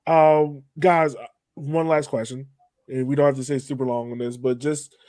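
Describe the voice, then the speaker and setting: Male sports podcast host, relaxed dark timbre, conversational delivery, very dry recording. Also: mid-range voice, normal speed, dry recording. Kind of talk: casual sports podcast chat.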